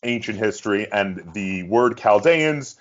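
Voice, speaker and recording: Male host, fairly low pitch. Relaxed conversational questioning, muffled low-fidelity recording. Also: unhurried. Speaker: interviewer, host. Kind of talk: casual interview conversation. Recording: dull, lo-fi audio.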